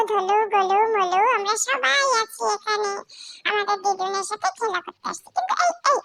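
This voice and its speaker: A person voicing a litter of playful kittens in a cute pet voice-over, using a high-pitched voice changer, chatty and teasing. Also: gravelly, sharp tone, heavily acted, low-quality recording. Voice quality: squeaky, chirpy.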